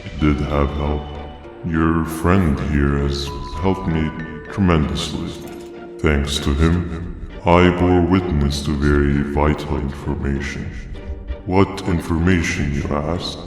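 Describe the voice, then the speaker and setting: A super deep calm jellyfish monster from a video game, flat menacing speeches, big echo. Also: male voice. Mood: smug, knowing. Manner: wordy, deliberate, theatrical monologuing. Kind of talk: video game villain monologue. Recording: echoey long reverb, music behind.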